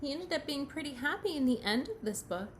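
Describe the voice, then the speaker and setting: A woman teacher reading a picture book aloud to young children, expressive and questioning. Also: high voice, slight background noise. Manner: expressive, pauses to question the listener.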